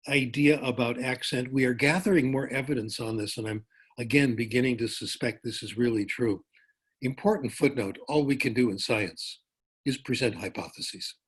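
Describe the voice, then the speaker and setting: A husky-voiced older man speaks at a middle pitch, giving a conference lecture. He tells relaxed anecdotes, often breaking off for asides and correcting himself as he goes. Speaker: professor giving a conference talk. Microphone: remote talk over a conference call.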